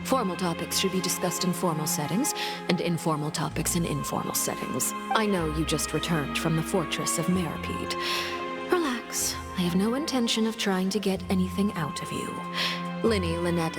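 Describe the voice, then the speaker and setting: A woman voicing a poised, menacingly courteous noblewoman in a video game, speaking formally in measured, deliberate phrases. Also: lowish voice, over loud music, close to an everyday voice. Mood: calm, sincere.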